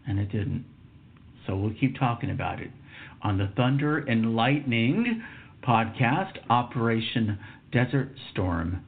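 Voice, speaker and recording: A man's voice: medium pitch, lively intonation, a little slow. A man in his fifties from Texas hosting a solo podcast, telling a story in a reflective, emphatic way and dropping to a whisper for asides. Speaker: podcast host. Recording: tinny phone-like sound.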